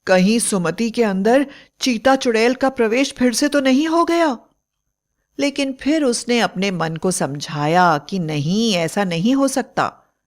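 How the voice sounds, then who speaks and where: A woman's voice: fairly high pitch, animated, a little slow. A woman storyteller reading a scripted bedtime fairy tale as narrator.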